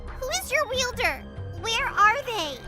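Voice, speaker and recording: A woman's voice: very high-pitched, sing-song, slow, loud music behind the voice, exaggerated voice. A woman in an amateur fandub voicing an earnest, bewildered youngster with a hesitant, questioning manner. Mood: troubled questioning. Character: earnest, bewildered youngster confronting a dark foe.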